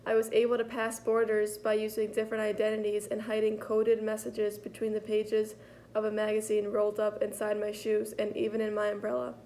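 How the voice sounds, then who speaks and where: A woman playing a poised, daring female French spy chief in a school role-play interview, recounting calmly and matter-of-factly. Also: medium pitch, a little fast, slight background noise, lightly acted, rough recording. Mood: composed.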